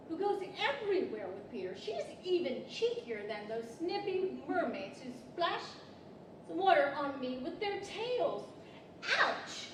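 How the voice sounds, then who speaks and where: A woman plays an earnest, motherly little girl in a live radio play. Her voice is high and swings widely as she explains things like a storyteller. It is picked up at a distance in a reverberant hall. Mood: huffy. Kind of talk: 1940s radio-theater acting. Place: large library hall, live stage performance. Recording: echoey hall, distant mic, background noise.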